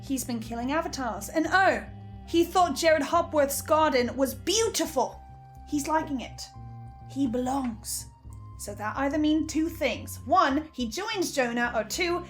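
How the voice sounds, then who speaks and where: A woman playing an anxious, haunted young woman in an audio drama, halting and emotionally strained. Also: high voice, lively intonation, faint music behind. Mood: grim, insistent. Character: anxious, haunted young woman fearing her own corruption.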